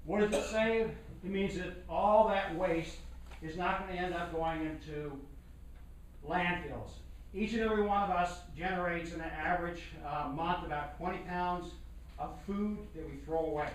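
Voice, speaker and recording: A man's voice: sits high, normal speed, slight background noise. A man giving a slideshow talk at a community meeting, speaking plainly with lots of "again" and "uh". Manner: plain and conversational, repeats "again" and "uh". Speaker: community presenter. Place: meeting room. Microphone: room mic from across the room. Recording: echoey meeting room from far off.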